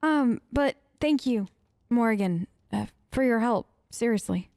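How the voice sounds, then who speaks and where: A woman gamer role-playing her character's dialogue off the cuff in a hesitant, halting, conversational way. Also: on the high side, lively intonation, darkish tone, dry recording, studio quality. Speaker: gaming let's player. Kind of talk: improvised in-character role-play of game dialogue.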